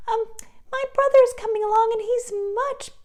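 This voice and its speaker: A woman voicing the littlest billy goat in a puppet show, a timid but crafty youngster with a squeaky, stammering delivery. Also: steady tone, moderate pace. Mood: frightened, pleading. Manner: squeaky, stammering when pleading.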